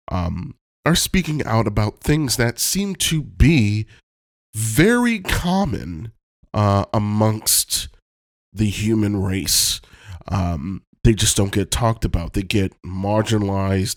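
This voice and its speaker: A man's voice: sits low, darkish tone, dry recording, studio quality. A man with a cold, sounding stuffed up, talking candidly and reflectively on his podcast with many ums and casual swearing. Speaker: podcast host. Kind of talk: personal reflective monologue. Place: Los Angeles home.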